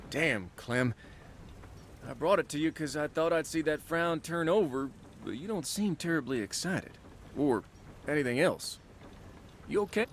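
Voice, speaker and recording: An actor playing a supportive old friend who hands out bounty work in a video game, familiar and teasing. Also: male voice, a little high, animated, unhurried, slight background noise. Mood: concerned. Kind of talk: naturalistic video game acting.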